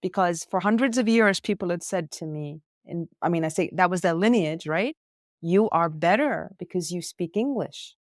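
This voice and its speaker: A woman from India talks as a podcast guest in a reflective, emphatic way. She often runs points together in lists. Her voice sits fairly low, and it is recorded close and dry, with no room sound. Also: normal speed, no room sound. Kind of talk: conversational interview. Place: hostel room.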